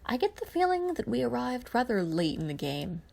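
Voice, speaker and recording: Woman reading a calm, creaky male space pilot. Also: fairly high pitch, voice pushed up, full character voice. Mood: calm, friendly. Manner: quiet, measured.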